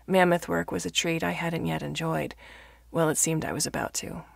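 Female narrator for short fiction: gravelly, dry close recording, measured storytelling from a script. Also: sits low, steady tone, dry recording.

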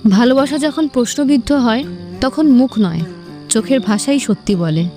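A woman's voice: sits high, normal speed, mellow, soft background music. A woman narrator reading a scripted romantic story in a dramatic storytelling style.

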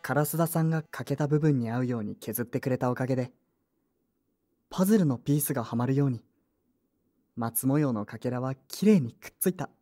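A woman playing an earnest, polite young man in an audio drama, soft-spoken and sincere. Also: extremely low pitch, a little fast. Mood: reflective narrating. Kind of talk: Japanese audio drama acting and first-person narration.

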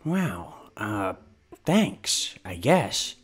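A man voicing a sneaky, sarcastic, twitchy raccoon in a husky cartoon voice. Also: lowish voice, very animated, very slow, full character voice. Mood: awkward. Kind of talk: comic dub cartoon acting.

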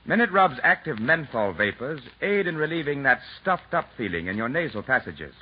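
A male voice reading a commercial on an early 1940s radio broadcast, in an emphatic sales-pitch manner. His tone is husky and swings widely in pitch. The sound is dull and lo-fi, like a vintage broadcast. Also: sing-song, brisk. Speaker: commercial announcer.

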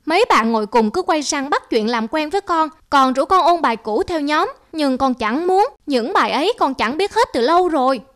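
A woman's voice: high voice, animated, normal speed, hardly any pauses. A woman voicing a spoiled, sulky young city boy in a cartoon, whiny and disdainful. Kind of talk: cartoon voice acting.

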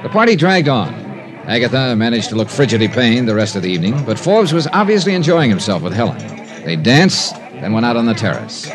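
A man's voice: medium pitch, big pitch swings, fairly quick, a crowd in the background, natural voice. An American actor playing a wry, hard-boiled male investigator who also narrates, in a 1950s radio drama. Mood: tense narration. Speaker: lead actor and narrator of a detective series. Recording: 1950s radio broadcast with music.

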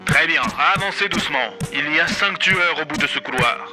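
A theatrical spy handler played by a man guides a comic audio game. He sounds smug and slightly high, with music clearly behind. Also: animated, a little fast, natural voice. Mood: instructing. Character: theatrical male spy handler, smugly instructing. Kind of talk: radio comedy game narration.

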